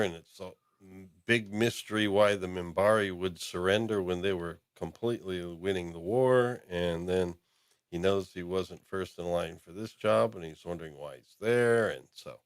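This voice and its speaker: A man's voice: low-pitched, dry recording, studio quality. A man hosting a podcast, giving a casual, rambling recap of a TV episode with frequent ums and uhs. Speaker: podcast host.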